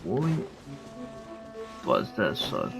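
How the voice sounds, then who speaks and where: A man's voice: deep voice, wildly swooping pitch, very slow, gravelly. A man giving casual, off-the-cuff commentary as he plays a video game. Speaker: gamer commentating playthrough. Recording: game music behind the voice.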